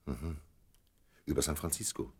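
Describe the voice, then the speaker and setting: An actor playing an indulgent, suave gentleman fiancé in a radio play, with a gravelly, husky voice and measured manner. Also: rumbling low, full character voice. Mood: agreeable. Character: indulgent, well-travelled gentleman fiancé, doting and quietly sly. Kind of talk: German radio play acting.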